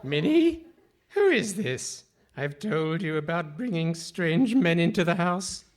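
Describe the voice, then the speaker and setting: A woman's voice: fairly low pitch, sing-song, takes it slowly. A woman playing a fussy, eccentric old man with a theatrical, doddering manner in a comic radio play. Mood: fussy, curious. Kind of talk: Goon Show comic radio theatre acting. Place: live performance in a hall. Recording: noisy live stage recording.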